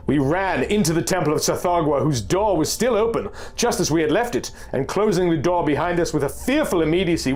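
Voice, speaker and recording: A man reading a first-person pulp horror story as a narrator, in a formal, measured, dramatic literary style. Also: fairly high pitch. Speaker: audiobook narrator. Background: music.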